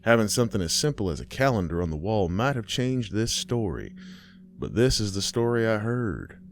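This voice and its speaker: A man hosting and narrating a horror story, folksy and unhurried, who talks straight to the listener. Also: lowish voice, sing-song, a little hiss or hum.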